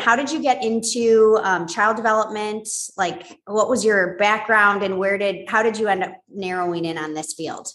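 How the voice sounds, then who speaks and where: A woman's voice: medium pitch, fairly bright tone, echoey room. A young adult woman hosting a podcast in an upbeat, enthusiastic interviewing manner. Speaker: podcast host. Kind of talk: casual interview.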